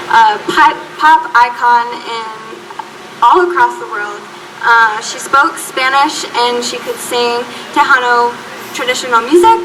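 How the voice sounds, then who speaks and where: A woman moderating a panel discussion, speaking in a friendly, informative way. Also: sits high. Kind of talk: panel hosting. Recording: distant mic, noisy room.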